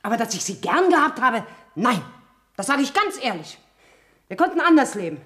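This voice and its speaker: An actress playing a bitter, spiteful sister in a radio crime play, sharp-tongued, insistent and scornful. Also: female voice, fairly high pitch, big pitch swings, normal speed.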